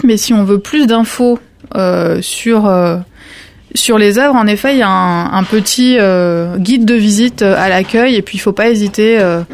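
A woman's voice: medium pitch, a little mellow. A woman who works at an art gallery's reception, explaining exhibitions in a casual conversation with many fillers. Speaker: museum visitor services staff.

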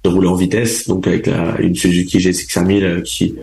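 A man's voice: low voice, flat delivery, fast talker, gravelly, a little mellow. A young man, an endurance motorcycle racer, answering interview questions casually, with many fillers and restarts. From France, raised in Charente from age four. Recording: roomy, echoey sound.

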